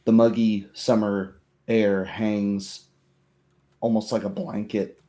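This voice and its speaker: A man narrating a tabletop fantasy game as game master, in measured, atmospheric phrases. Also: lowish voice, flat delivery, mellow.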